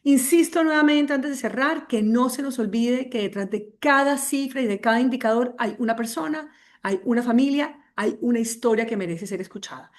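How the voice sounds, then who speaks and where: Woman, moderator, slightly high voice, earnest and measured. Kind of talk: webinar opening remarks.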